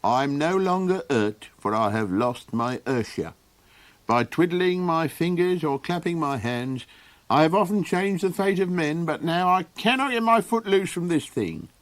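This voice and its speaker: A man voicing a pompous, complaining old king, theatrical and high in an audiobook. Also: lively intonation, moderate pace, no echo, natural voice. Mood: self-pitying. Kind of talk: audiobook character voice.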